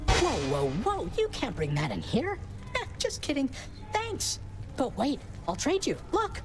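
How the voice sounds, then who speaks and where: An actor playing a chirpy, cheeky young sleep god in a video game, bubbly, teasing and exaggerated. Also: male voice, very high voice, huge pitch swings, a little slow, music in the background, full character voice. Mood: playful.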